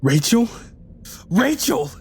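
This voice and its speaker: A man playing an anguished, haunted lover in an audio drama, with emotional, halting delivery. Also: sits high, sing-song, a little mellow, breathy edge, long reverb tail, full character voice. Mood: panicked, anguished. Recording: music under the voice. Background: background music.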